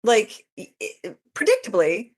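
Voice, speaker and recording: A woman chatting casually as an interview guest, informal and expressive, voicing her own reactions. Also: mid-range voice, measured pace, breathy edge, faint music behind. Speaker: interview guest, fanfiction author. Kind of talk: casual chat.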